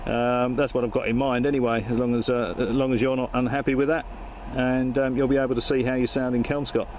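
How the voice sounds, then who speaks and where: A man's voice: medium pitch, little pitch movement, quick pace, darkish tone, slight background noise. A man chatting casually and talking on air as an amateur radio operator, with a hesitant, dryly joking manner. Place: vehicle outdoors in Perth suburbs. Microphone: handheld camera.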